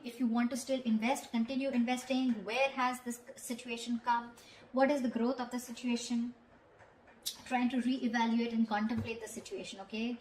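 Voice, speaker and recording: A woman's voice: on the high side, darkish tone. A woman giving an improvised tarot reading, talking directly to the listener in a conversational way and often checking in. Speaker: tarot reader. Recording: echoey room with faint background noise.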